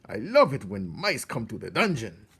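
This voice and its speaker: A man's voice: fairly low pitch, wildly swooping pitch, moderate pace, vocal fry, a bit bright, slightly rough, exaggerated voice. A man voicing a gleefully cruel, mocking rat ringleader in a storybook, relishing every word theatrically. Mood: gloating delight.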